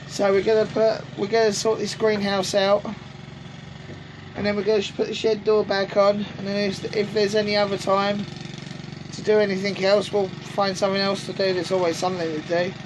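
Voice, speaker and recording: A woman with a gruff voice from a sore throat, chatting casually and rambling with many ums as she shows round her greenhouse on camera. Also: medium pitch, little pitch movement, normal speed, pauses often. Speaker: allotment vlogger. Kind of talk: casual walk-through chat. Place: greenhouse on an allotment, outdoors. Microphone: camera on a tripod. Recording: outdoor noise, lawnmowers and traffic behind.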